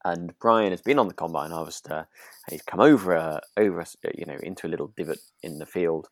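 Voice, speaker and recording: A man's voice: sits low, fairly quick, no echo. A British man chatting as a podcast co-host, hesitant and often restarting his sentences. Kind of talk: relaxed chat discussing a radio drama.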